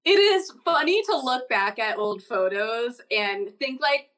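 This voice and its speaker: A woman's voice: a little high, expressive, a little slow, fairly bright tone. A woman hosting a casual interview, chatty and encouraging toward her guest. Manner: chatty, encouraging, laughs easily. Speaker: podcast host.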